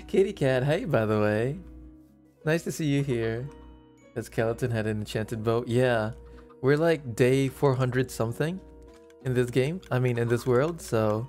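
A woman streaming a video game, chatting casually with viewers in a soft, gentle voice, often humming and giggling to herself. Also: extremely low pitch, lively intonation, unhurried, soft background music. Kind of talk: casual gameplay livestream chat.

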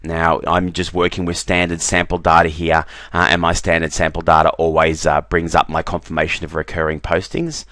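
Man, low voice, dry close sound, relaxed screen-recording walkthrough with filler words. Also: fairly quick, few pauses, dry recording. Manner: relaxed, repeats "very, very" and fills with "uh". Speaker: software demonstrator.

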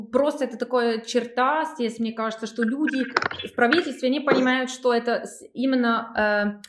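A young woman with a fairly high voice asks her questions slowly, searching for words in a language that is not her first. She speaks over a video call, and the room around her sounds echoey. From Switzerland. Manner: hesitant, searching for words, many fillers. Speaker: interviewer.

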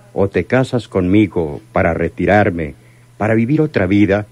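Deep-voiced, brooding crime boss. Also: male voice, darkish tone, no echo. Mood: stern, pleading. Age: older. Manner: deliberate, dramatic delivery. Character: menacing, lovesick male crime boss, bitter and self-reproaching. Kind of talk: radio drama acting. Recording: noisy old radio drama recording.

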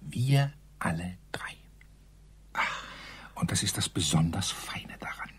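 Husky, animated man in a crime drama. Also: deep voice, takes it slowly, whispered, heavily acted. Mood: dramatic, emphatic. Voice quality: husky, breathy. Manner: rambling, hesitant, then emphatic. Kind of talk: German radio crime drama acting.

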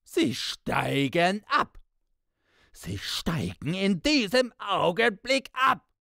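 Frantic, shrieking, enraged ranter. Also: male voice, high-pitched, vocal fry, sharp tone, dry recording, clean studio sound, pitched above the performer's own voice, exaggerated voice. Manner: bellowing, ranting in exclamations and insults. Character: enraged, indignant man screaming helpless curses. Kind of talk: dramatised literary storytelling.

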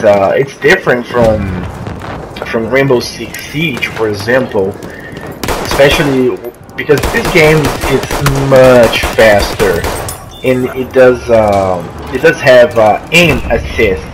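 A young man from Brazil with a Brazilian Portuguese accent, a non-native English speaker who halts and restarts while commenting on his gameplay. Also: mid-range voice. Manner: halting, searching for words, many restarts. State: coughing from allergy. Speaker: gamer. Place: friend's house while traveling. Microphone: makeshift mic, not his usual. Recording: noisy, poor-quality home recording.

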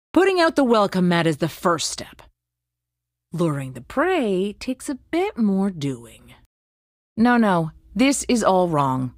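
Low-voiced survivalist huntress. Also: female voice, no echo, clean studio sound. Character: calm, thoughtful female survivalist hunter-soldier. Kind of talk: video game character voice lines.

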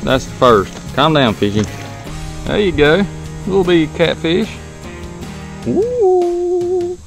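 A man's voice: high-pitched, slow pace. Folksy Southern man with music underneath. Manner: relaxed, folksy, talks to fish while reeling. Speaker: fishing vlogger. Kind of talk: casual fishing commentary. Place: kayak on a river outdoors, then inside a pickup truck. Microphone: action camera mounted on kayak, then dashboard camera.

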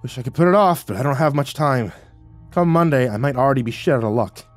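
A man's voice: mid-range voice, expressive, quick pace, no room sound, soft background music, close to an everyday voice. A man voicing an anxious, grumbling, foul-mouthed man in an eagle's body, with slangy, groaning delivery, in a narrated story. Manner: groaning, sputtering, slangy complaining.

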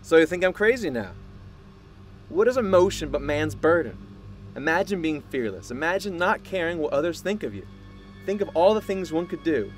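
An actor playing a proud, obsessive male scientist in an audio drama, speaking in a deliberate, grandiose way. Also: on the high side, sing-song. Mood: grandiose, excited. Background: music.

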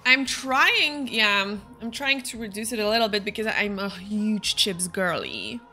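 A woman's voice: medium pitch, expressive, unhurried, music in the background. A young woman from Slovakia with a Slovak accent, chatting casually with her stream while she plays a game. She reads viewer messages aloud and answers them. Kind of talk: casual chat while gaming.

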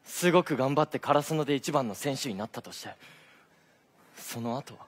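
An actor playing a cool, sceptical teenage volleyball player, questioning and politely blunt in a theatrical stage style. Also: male voice, mid-range voice, moderate pace, lightly acted. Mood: brooding. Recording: stage performance with music behind.

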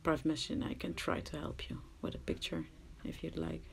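Dutch-accented woman, gravelly low voice, casual hesitant how-to narration over a phone mic with faint background hiss. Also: steady tone, a little fast. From Netherlands. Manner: hesitant, self-deprecating, drops to murmurs. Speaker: crafting tutorial maker. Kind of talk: casual screen-recorded tutorial. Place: at someone else's home.

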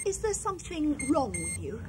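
A British woman playing a worried but firm mother in an audio drama, anxious and brisk. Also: sits high, very animated, noisy background. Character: worried, firm mother of young sick boys.

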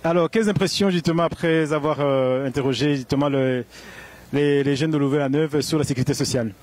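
A man interviewing passers-by outdoors with a handheld mic, quizzing them briskly. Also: fairly high pitch, darkish tone. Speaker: street interviewer. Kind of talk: street interview. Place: outdoors on a city square.

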